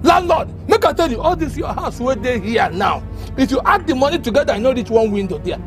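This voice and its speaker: Male actor as brash Nigerian drinker-tenant for comedy drama: very high voice, mouthy, taunting, animated. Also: a little fast, music in the background. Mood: angry, mocking. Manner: loud, taunting, repetitive ranting. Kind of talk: Pidgin comedy drama acting.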